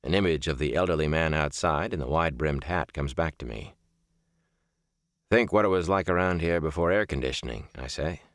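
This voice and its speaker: A man reading a first-person literary book as a narrator, with measured, deliberate phrasing and emphatic stresses. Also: very deep voice, no echo, clean studio sound. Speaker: audiobook narrator. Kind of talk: scripted audiobook narration of a reflective first-person memoir novel.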